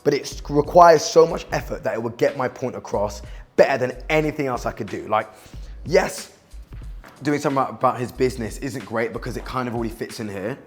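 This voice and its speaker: A young man from the West Indies, chatting casually in a vlog, animated and self-mocking, swearing and saying "like" a lot. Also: mid-range voice, a little fast, soft background music. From Guyana and the West Indies. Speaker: vlogger and outreach coach. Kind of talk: casual vlog talk to camera.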